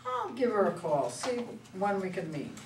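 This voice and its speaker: A woman playing a tough but soft-hearted older politician in an amateur play reading, dry and wry. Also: mid-range voice, very animated, normal speed, close to an everyday voice. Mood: gracious. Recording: distant, echoey room, faint noise.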